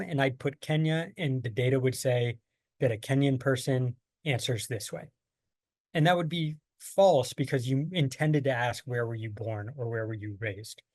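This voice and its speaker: A man's voice: medium pitch, darkish tone, no echo. A man from the United States presenting a training lesson on survey design, explaining patiently with plain everyday examples. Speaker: workshop presenter. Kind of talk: online training lecture.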